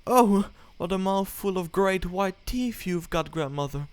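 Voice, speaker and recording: A man doing a high, lilting little girl. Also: expressive, a little slow, clear, resonant tone, no room sound, soft background music. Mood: innocent. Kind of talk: storybook read-aloud.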